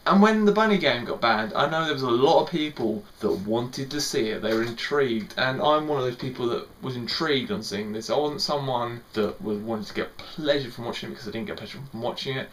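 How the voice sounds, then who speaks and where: A man's voice: medium pitch, animated, brisk, hardly any pauses, fairly bright tone, slight background noise. A young man giving a casual spoken film review, rambling a little and repeating words, with lots of "sort of" and "like".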